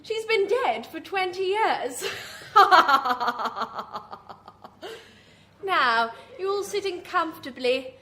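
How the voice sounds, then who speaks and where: A wicked, vain horror hostess introducing radio chillers. The voice is very high and slow, arch and confiding, relishing every macabre word. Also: female voice, animated, bright, forceful tone, exaggerated voice. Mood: confiding glee, then ominous relish. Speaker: host of horror anthology. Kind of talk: live horror radio storytelling.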